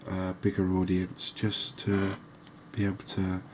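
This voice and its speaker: A man giving relaxed, unhurried, musing ASMR-style gameplay commentary, chatting to his viewers. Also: deep voice, slight background noise. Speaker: host of a cozy fishing game channel.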